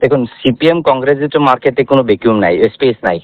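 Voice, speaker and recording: A man's voice: mid-range voice, expressive, fast, no room sound. A man with a Tripura Bengali accent giving interview answers as an election candidate over the phone, mixing in English phrases. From Tripura, India. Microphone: telephone. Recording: dull lo-fi phone line.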